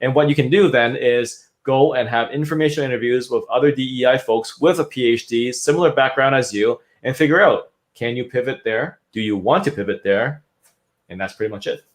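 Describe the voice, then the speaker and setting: Canadian-raised man, guest expert, explains at length with restarts and tag questions, mid-pitched voice. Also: fairly quick. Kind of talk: interview talk.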